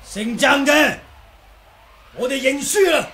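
A man's voice: very high-pitched, lively intonation, slow, crowd noise, full character voice. A man with a Hong Kong Cantonese accent playing a blunt, proud older martial artist in a radio drama. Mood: blunt, resigned. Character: gruff, proud older male martial artist, senior brother. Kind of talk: 1980s radio drama acting. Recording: noisy old 1980s radio recording.

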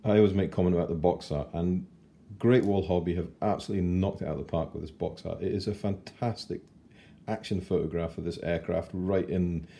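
A man in his forties giving a chatty, unhurried model kit review at his kitchen table. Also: low voice, steady tone, gravelly, dark tone. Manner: chatty and unhurried, with fillers and self-corrections. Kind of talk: casual unboxing review.

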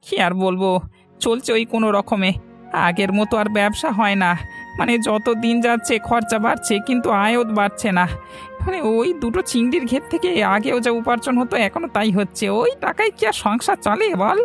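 A man's voice: extremely high pitch, animated, brisk, fairly bright tone, faint music behind, heavily acted. A man voicing an earnest, anxious younger-brother farmer in a fairy-tale cartoon. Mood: worried.

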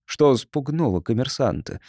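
Lively male audiobook narrator, dry sound. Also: mid-range voice, normal speed, no echo. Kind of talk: expressive scripted fiction narration.

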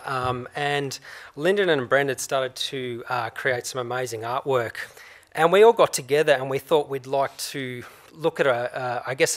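A man's voice: mid-range voice, fairly bright tone. A man explaining an art project at a conference, hesitant with frequent fillers. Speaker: media producer. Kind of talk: conference talk. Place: conference stage, indoors.

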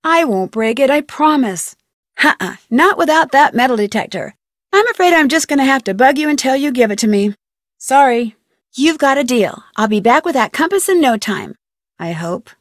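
A woman's voice: high voice, lively intonation, moderate pace, no room sound, clean studio sound. A woman playing an inquisitive, persistent young amateur detective in a video game, speaking in a polite, earnest way. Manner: polite, earnest, crisply questioning. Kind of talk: video game dialogue voice acting.

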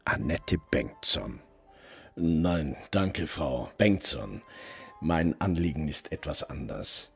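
A very low male voice over clear background music. a gruff, weary middle-aged detective recounting his story in a radio crime drama. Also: lively intonation, slow, heavily acted. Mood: brooding. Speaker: narrator and lead actor. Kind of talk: German radio crime drama narration and dialogue.

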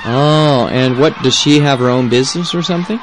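A man playing a chatty, forgetful schoolteacher in a scripted English lesson dialogue, animated and slightly hesitant. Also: mid-range voice, fairly quick, noisy background, lightly acted. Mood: cheerful, curious.

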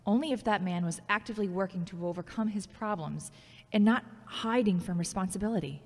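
A woman's voice: mid-range voice, brisk, a little mellow, close to an everyday voice. An actress playing a frank, logical, critical yet caring former girlfriend in a scripted audio drama. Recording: long hall-like reverb.

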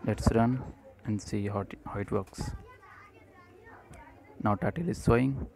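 A man presenting an unscripted screencast coding tutorial in short, halting phrases. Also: lowish voice, gravelly, a little mellow.

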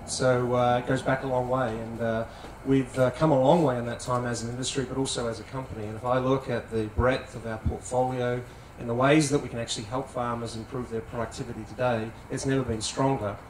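A man, a company executive, speaking smoothly and in a practiced way on a panel in a large tent. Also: mid-range voice, flat delivery, brisk. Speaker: senior vice president on a panel. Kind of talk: panel discussion. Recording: roomy sound from some distance, faint noise.

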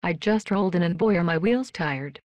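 A woman voicing a polite, emotionless female robot worker in a video game, with flat, stilted, deadpan delivery. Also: fairly low pitch, moderate pace, dry recording, natural voice. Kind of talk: scripted video game voice lines.

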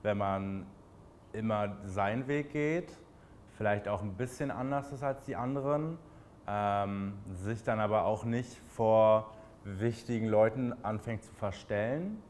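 A man in his twenties with a Berlin accent, answering interview questions in a relaxed, chatty way with many fillers. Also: on the low side, measured pace, slight background noise. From Berlin, Germany.

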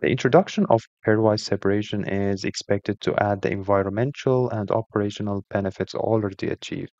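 A man with a lowish voice narrates an explainer as a presenter. His delivery is formal and measured, clearly working from a script. The recording is very dry and close, with a somewhat dull, lo-fi tone. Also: steady tone, a little mellow, no echo.

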